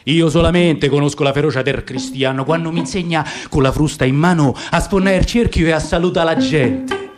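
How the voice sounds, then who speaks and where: Guy doing a grumpy old-style circus lion, roman accent, deep resentful muttering, radio theatre verse. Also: fairly quick, natural voice. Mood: resentful, indignant. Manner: brooding, grumbling complaint. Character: gloomy caged male circus lion, proud and resentful.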